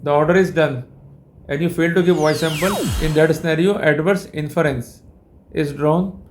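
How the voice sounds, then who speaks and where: A man with an Indian accent giving an explanatory legal lecture in a deliberate, formal way, often repeating his connecting phrases. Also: on the high side, fairly even intonation, faint music behind.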